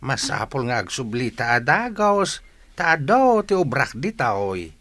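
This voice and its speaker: A man's voice: mid-range voice, very animated, a little slow, a bit bright. A man playing a kindly, indulgent old grandfather from a village in an Ilocano radio drama. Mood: gentle, indulgent.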